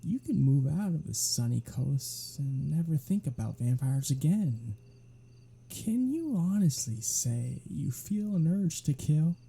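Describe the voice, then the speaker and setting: A sardonic vampire predator, acted out by a performer in an ASMR audio drama, teasing and unrepentant. The voice is very husky and breathy, with a dark, soft tone. The words come slowly and casually, with swearing mixed in. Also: medium pitch, sing-song, heavily acted. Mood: argumentative, sardonic. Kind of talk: ASMR roleplay audio drama, one-sided dialogue.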